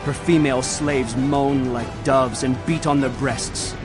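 A man's voice: medium pitch, steady tone, unhurried, music in the background, lightly acted. Man as solemn, declamatory prophet of doom. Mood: urgent, dramatic. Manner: declamatory, emphatic, dramatic. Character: male prophet narrator, solemn, urgent herald of doom. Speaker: narrator. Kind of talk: dramatized scripture reading.

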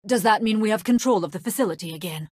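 An actress playing a facility scientist in a video game, explaining things in an expressive, dramatic way. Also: female voice, mid-range voice, quick pace, breathy edge, no room sound, clean studio sound, lightly acted. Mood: questioning. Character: female facility scientist explaining dire problems.

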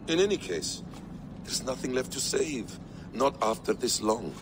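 An actor playing a calm, scheming ancient man who speaks in a measured, quietly menacing way, in a video game cutscene. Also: mid-range voice, background noise. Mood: composed.

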